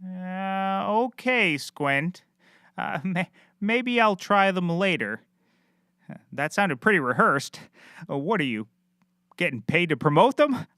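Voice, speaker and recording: A man's voice: high voice, lively intonation, takes it slowly, pushed and bright, clear, resonant tone, no echo, clean studio sound, heavily acted. An actor playing a bumbling, eager and clueless male private eye in a scripted comedy, chatty and naively confident. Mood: puzzled. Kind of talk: scripted comedy audio drama.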